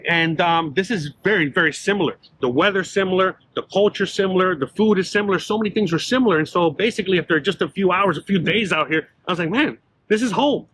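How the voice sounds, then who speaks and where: A middle-aged man from Miami talks through his story with lots of "you know" and "um". His voice sits high and sounds bright and forceful. Faint outdoor ambience hangs behind him on the street. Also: fast, a little hiss or hum. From Miami, Florida. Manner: rambling storyteller with many fillers and restarts. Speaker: YouTuber guest. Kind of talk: casual walk-and-talk interview.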